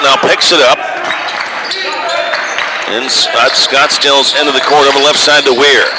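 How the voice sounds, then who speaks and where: A man calling live basketball play-by-play for radio, in clipped running descriptions of the action, score and clock. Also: mid-range voice. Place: basketball gym during a game. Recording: noisy live gym broadcast.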